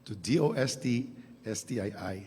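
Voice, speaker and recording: A hoarse man with a Filipino accent, giving a slow, deliberate anniversary speech in a large hall and asking the crowd questions. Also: lowish voice, warm tone. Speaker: agency director giving a speech. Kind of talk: anniversary speech mixing English and Tagalog. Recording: long hall reverb.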